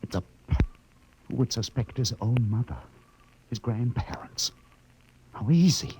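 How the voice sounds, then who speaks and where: A man's voice: medium pitch, lively intonation, unhurried, a little mellow, slight background noise, lightly acted, low-quality recording. An actor playing a frightened male spaceship captain in an intense, suspenseful 1950s radio drama. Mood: dread, grim suspicion. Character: frightened spaceship captain realizing his crew is trapped. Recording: vintage 1950 radio recording.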